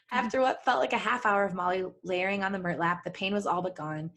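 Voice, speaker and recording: A woman's voice: medium pitch, normal speed, a bit bright. A woman co-hosting a podcast, reading a story aloud and breaking off for casual banter. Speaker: podcast co-host reading fan fiction aloud.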